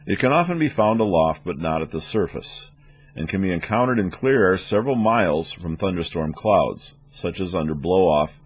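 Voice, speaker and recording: Low, husky male instructional narrator. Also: lively intonation, normal speed. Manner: explanatory, methodical. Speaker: weather instructor for air traffic controllers.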